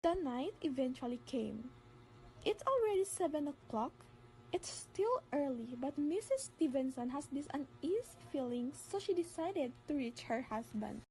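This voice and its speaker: A teenage girl narrates a radio drama from a script. Her voice sits high. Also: very animated, normal speed, warm tone, a little hiss or hum, low-quality recording.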